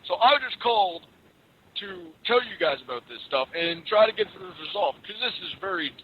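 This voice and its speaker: A man's voice: high-pitched, lively intonation, a little fast. A man calling customer service to complain, talking in an earnest, conversational way. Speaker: caller making a complaint. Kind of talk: prank phone call in earnest conversational tone. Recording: phone call with faint background noise.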